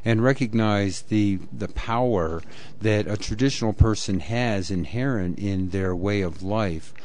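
A man's voice: on the low side, measured pace, a little mellow, a little hiss or hum. A man hosting a live radio interview, talking in a loose, rambling way with many fillers. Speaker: radio host.

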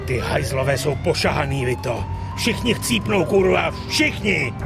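An actor playing a brash, hot-headed, vengeful gangster in a dubbed game, crude and swaggering. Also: male voice, a little high, very animated, creaky, loud music behind the voice. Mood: vengeful, angry. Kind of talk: dramatic game dub acting.

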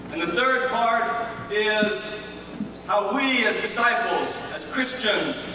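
A man in his thirties hosting a church program, speaking and reading scripture in a solemn, declamatory way at a microphone. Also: sits high. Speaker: host and scripture reader at a church concert. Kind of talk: formal public introduction and scripture reading. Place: large auditorium on stage, indoors. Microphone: microphone on a boom stand. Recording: echoey hall, distant PA sound, faint noise.

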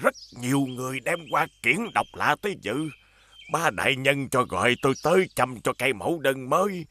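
A man voicing an elderly, fussy village gardener in fear of his master, in an animated fairy tale. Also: medium pitch, brisk. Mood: anxious, flustered. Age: older. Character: elderly village gardener, anxious servant of a tyrant.

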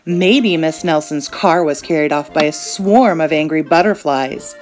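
A woman's voice: low-pitched, measured pace, faint music behind. A woman voicing a group of eager, exclaiming young schoolchildren in a storybook reading. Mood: excited.

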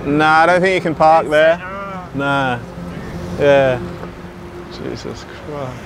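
A man's voice: on the high side, takes it slowly. A young Australian man hosting a prank video, chatting casually outdoors at a petrol station, laughing often and swearing freely. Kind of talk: casual prank vlog chat. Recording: outdoor street noise.

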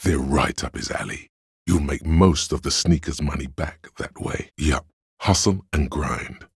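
A man playing the cool, analytical inner voice of logic in a video game, speaking in a measured, dryly superior way with a gravelly, husky tone. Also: a bass voice, dry recording, clean studio sound, exaggerated voice. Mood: wry, urging. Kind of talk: dramatic video game narration.

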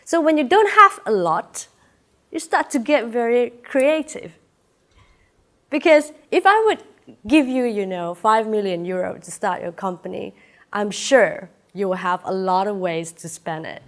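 A woman's voice: mid-range voice, expressive, a little slow. Malaysian woman, 30s, native Chinese speaker; chatty, personal keynote speaker, feverish, filler-heavy delivery. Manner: chatty, personal, laughs often, frequent "uh" fillers. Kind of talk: inspirational talk. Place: lecture room with audience.

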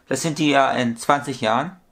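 A man's voice: mid-range voice, fairly quick, gravelly, a bit bright. Rambling man in his thirties, home vlog. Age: 30s. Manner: rambling, repetitive, corrects his own sums aloud. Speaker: toy collector vlogger. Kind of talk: casual vlog monologue. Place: small room at home with shelves.

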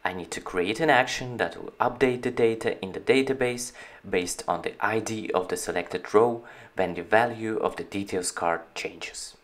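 Man narrating a software walkthrough. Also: fairly low pitch, moderate pace. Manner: steady, explanatory walkthrough. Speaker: company founder presenting a product. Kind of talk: screen-recorded software tutorial. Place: voice-over on a screen recording.

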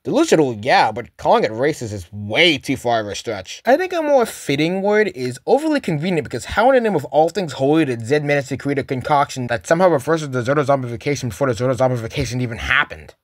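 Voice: medium pitch, animated, fast, few pauses, no room sound, clean studio sound. A commentator giving a casual, sarcastic scripted critique of a cartoon. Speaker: host, commentator.

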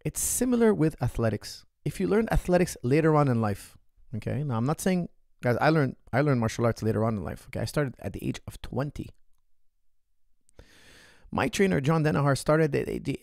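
A man's voice: on the high side, creaky, darkish tone, no echo. A man in his thirties, a martial arts coach, talking energetically on a podcast Q&A, repeating phrases for emphasis into a close studio mic in a small room. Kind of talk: casual podcast Q&A. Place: small indoor room, seated. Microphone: studio mic on boom arm, close.

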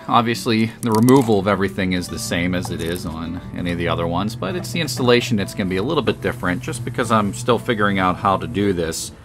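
A man with a fairly low voice narrates in a relaxed, matter-of-fact way, explaining each step as he goes. His voice-over sits over clearly audible background music. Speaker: beekeeper and channel host. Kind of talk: voice-over narration of a hive install.

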